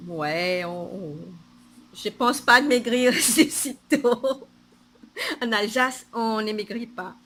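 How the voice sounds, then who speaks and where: A woman whose first language is Portuguese talks through her knitting projects in a bright, clear voice with a Portuguese accent. She speaks slowly and haltingly, with frequent fillers, restarts and small laughs. A faint room hum sits behind her. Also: fairly high pitch. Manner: hesitant, many fillers and restarts, laughs often. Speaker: knitting podcaster. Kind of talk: casual knitting vlog.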